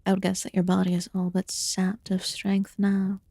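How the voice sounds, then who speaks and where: A woman playing an ancient, aloof fae in a fantasy ASMR roleplay, murmuring softly and formally with some whispered phrases. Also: mid-range voice, completely flat delivery, creaky, no echo, studio quality. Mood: aloof, commanding. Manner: slow, deliberate, archaic formal wording. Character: ancient aloof female fae recluse, haughty yet quietly caring.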